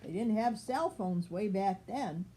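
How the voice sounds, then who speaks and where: An older woman, a grandmother, reading a children's picture book aloud with expressive, deliberate phrasing. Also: medium pitch, slight background noise, low-quality recording.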